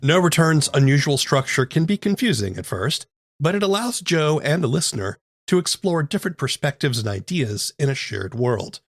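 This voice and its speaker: A man's voice: on the high side, big pitch swings, moderate pace, no echo, studio quality. Male podcast host over guitar music. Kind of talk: scripted podcast narration and interviewing.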